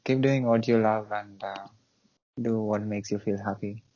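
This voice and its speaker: Halting man on a muffled video call. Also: on the low side, fairly even intonation, warm tone. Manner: halting, with many restarts and "uh"s. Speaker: interview show host. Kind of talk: casual online interview.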